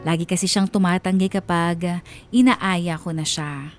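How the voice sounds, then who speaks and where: Woman acting a wistful wife over soft music. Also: fairly low pitch, steady tone, unhurried, lightly acted. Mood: wistful, troubled. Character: devoted, wistful wife of thirty-four confessing her story. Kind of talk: dramatized first-person letter narration. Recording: music bed behind the voice.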